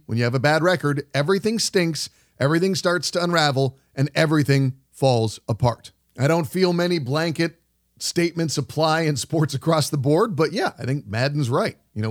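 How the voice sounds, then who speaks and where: Higher-voiced man hosting sports radio. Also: animated, normal speed. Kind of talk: sports show commentary and interview.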